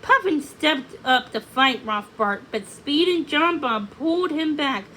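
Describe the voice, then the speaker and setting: A woman reading a storybook aloud in an expressive narrating style. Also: sits high, unhurried, slight background noise. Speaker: storybook reader.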